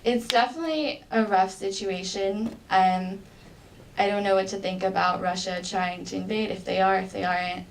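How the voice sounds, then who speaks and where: A woman's voice: mid-range voice, normal speed, gravelly. A young adult woman, a college student, answering questions politely and earnestly in a mock job interview, with frequent ums and likes. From United States. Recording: distant mic with faint background noise.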